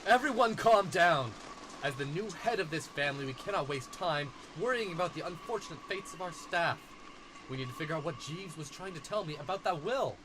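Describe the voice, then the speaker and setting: A man's voice: fairly high pitch, animated, fairly quick, noisy background, natural voice. A man playing a pompous, dramatic eldest son who takes charge of the family in an amateur murder mystery audio drama. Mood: commanding. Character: pompous eldest son Frank, self-appointed family head.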